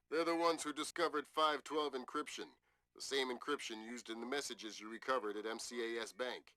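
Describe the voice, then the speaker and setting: An actor playing an authoritative male spy-agency commander in a video game, giving briefings in a crisp, commanding, slightly wry way. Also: mid-range voice, pushed and bright, dry recording, close to an everyday voice, low-quality recording.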